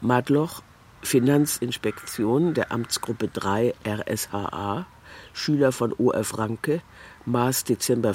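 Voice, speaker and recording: A man's voice: mid-range voice, a little mellow, slightly rough. A man reading a scripted literary documentary narration in a sober, factual manner. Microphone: close studio microphone, dry.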